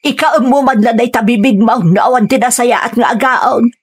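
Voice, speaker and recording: An actress playing a sharp-tongued, gossipy village wife in an Ilocano radio drama, with an expressive, nagging delivery and an Ilocano accent. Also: female voice, on the high side, normal speed, slightly rough. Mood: scolding.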